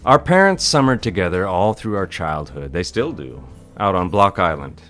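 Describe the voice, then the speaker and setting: Man playing a deep-voiced charming young bachelor. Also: animated, normal speed, a bit bright, faint music behind. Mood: wry, wistful. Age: young adult. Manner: smooth, teasing, courteous. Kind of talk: period romantic audio drama acting.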